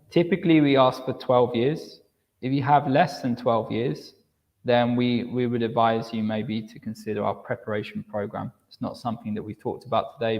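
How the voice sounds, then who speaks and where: A man presenting university admission steps in a live online session, explaining clearly, often repeating words as he restarts and checking in with "okay". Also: medium pitch, in a large hall, highs cut off.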